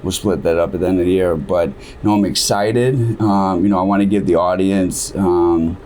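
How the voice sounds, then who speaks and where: A man's voice: sits low, steady tone, normal speed, darkish tone, a little hiss or hum. A man hosting a podcast, talking in a casual, rambling way with lots of "you know" fillers. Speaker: podcast host. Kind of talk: casual chat.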